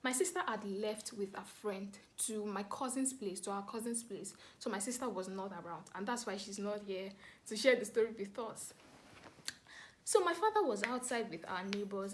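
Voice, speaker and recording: A woman with a Nigerian accent telling a story casually to camera, animated and dramatic, repeating words for emphasis. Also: mid-range voice, a little hiss or hum. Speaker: vlogger telling a family story.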